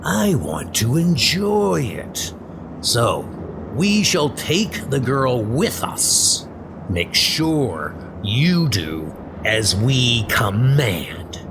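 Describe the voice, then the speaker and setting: Man acting a gloating, cruel supervillain brute, slow and menacing. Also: mid-range voice, very animated, background noise, heavily acted. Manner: gloating, commanding. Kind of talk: comic-book dub villain acting.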